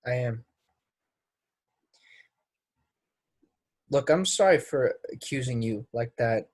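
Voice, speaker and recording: A man's voice: mid-range voice, expressive, normal speed, darkish tone, no room sound, lightly acted. Blunt young actor with a grudge, played by a man. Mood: subdued, reflective. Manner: blunt, casual, clipped. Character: brash, resentful young male actor with a grudge. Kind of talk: play readthrough acting.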